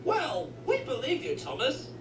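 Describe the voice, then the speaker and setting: Bubbly cartoon diesel sidekick, acted out, eager and wildly swooping, unhurried, echoey under loud music. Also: high-pitched, wildly swooping pitch, recorded from a distance, background noise, full character voice. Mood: curious, helpful. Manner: gushing, giggly, eager. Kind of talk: cartoon fan dub.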